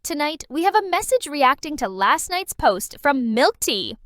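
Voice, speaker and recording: A woman's voice: high-pitched, sing-song, unhurried, a bit bright, dry recording, clean studio sound, heavily acted. A woman playing a cheerful late-night radio DJ who reads listeners' letters expressively in a manga dub. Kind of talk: radio presenting, anime-style dub.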